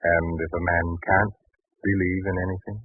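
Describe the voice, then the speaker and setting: Troubled, husky radio-drama merchant. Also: male voice, low-pitched, little pitch movement, moderate pace, dry recording. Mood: troubled, firm. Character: doubting, anxious merchant and fond father in biblical times. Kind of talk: 1940s radio drama acting. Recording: muffled, thin 1940s radio recording.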